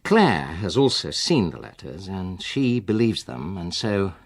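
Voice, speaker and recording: Wronged husband, husky, sardonic, controlled, swinging intonation, radio drama. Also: male voice, sits low, very animated, full character voice. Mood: dry, sardonic. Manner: dry, sardonic, deliberate. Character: wronged husband, former rugby man, coldly sarcastic and controlled. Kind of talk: naturalistic radio drama acting.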